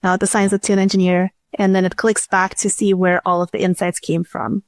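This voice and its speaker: A woman's voice: medium pitch, level tone, brisk, no room sound, clean studio sound. A woman guest on a podcast, informally explaining a product demo step by step. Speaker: podcast guest, startup chief executive.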